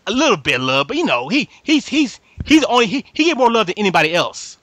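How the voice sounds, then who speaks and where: A man's voice: high-pitched, big pitch swings, fast, a bit bright, dry recording. A man hosting a casual anime fan discussion, speaking in slang and repeating words and phrases for emphasis. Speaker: podcast host moderating a group discussion.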